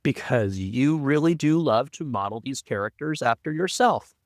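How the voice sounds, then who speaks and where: A man's voice: mid-range voice, lively intonation, normal speed, dry recording, studio quality. A man co-hosting a podcast in a conversational, explanatory style. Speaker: podcast co-host. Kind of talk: conversational production discussion.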